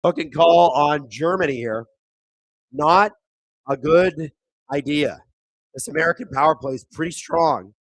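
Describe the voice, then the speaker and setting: A man with a thin, raspy, hoarse voice hosts a live hockey watchalong, chatting casually, reading viewer comments and calling plays excitedly. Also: on the high side, a little slow, long pauses, no room sound, rough recording. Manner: casual, reads viewer chat aloud; excitable play-by-play on big moments. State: hoarse, voice squeaking. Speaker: watchalong host. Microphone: streaming microphone.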